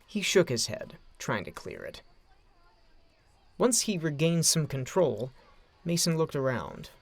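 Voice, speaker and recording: Male narrator, animated pitch swings, gravelly fry, deliberate phrasing, scripted dramatic storytelling. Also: no room sound.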